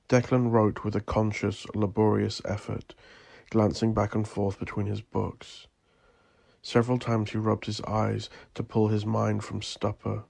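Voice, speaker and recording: A man narrating scripted horror fiction in a theatrical, ominous manner, deliberately drawing out his phrases. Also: fairly low pitch, creaky, darkish tone, slightly rough, no echo. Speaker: audio drama narrator.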